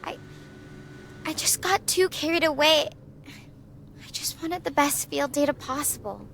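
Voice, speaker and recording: A woman playing a stranded, guilt-ridden young astrophysics researcher in a sci-fi drama, speaking softly and hesitantly in a confiding way. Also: high voice, measured pace, gravelly, slight background noise, heavily acted. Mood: guilty, confessing. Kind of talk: conversational sci-fi roleplay.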